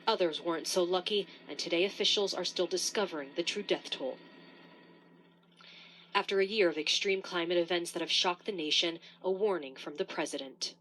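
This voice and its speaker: Woman, lowish pitch, news correspondent, scripted report narration, faint ambient noise. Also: normal speed, fairly bright tone, rough recording.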